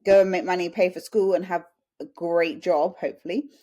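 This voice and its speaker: Woman, English accent, slightly low pitch, chatty, anecdotal, conversational interview. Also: fairly even intonation, brisk, dark tone. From UK, small town in Suffolk. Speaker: podcast guest.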